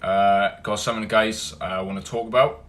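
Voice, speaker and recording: A young Welsh man hosting a casual livestream, greeting viewers by name and chatting loosely, loud when he greets people and low and mumbled when he muses. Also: steady tone, moderate pace. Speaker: livestream host. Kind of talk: casual livestream chat reading comments.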